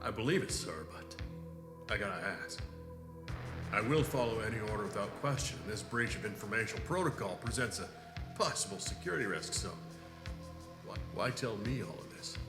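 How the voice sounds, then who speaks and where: A man's voice: fairly low pitch, lively intonation, normal speed. A man playing a loyal, earnest security chief in a science fiction audio drama, formal and deferential. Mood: dutiful, questioning. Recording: echoey hall reverb, music underneath.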